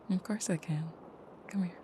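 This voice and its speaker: A woman playing an intimate vampire girlfriend in an audio roleplay, speaking softly and often dropping into a whisper. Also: lowish voice, slow, noisy background. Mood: tender, soothing. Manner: soft, breathy murmuring, often whispered, soothing. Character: female vampire girlfriend, protective and tender, menacing in nightmare.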